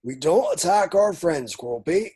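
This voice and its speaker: Man playing a husky, folksy half-giant gamekeeper. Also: high-pitched, animated, unhurried, mellow, heavily acted. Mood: fond, coaxing. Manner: folksy, hearty, protective. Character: Hagrid, gruff soft-hearted half-giant gamekeeper. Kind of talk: table read of a film script.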